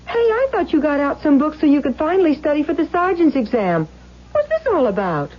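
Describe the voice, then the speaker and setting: A plain-spoken, caring wife in an old radio drama. Her high voice moves expressively as she coaxes and doubts. The recording is dull. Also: huge pitch swings, fairly quick. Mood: skeptical. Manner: coaxing, questioning, familiar. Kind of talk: 1970s radio drama acting.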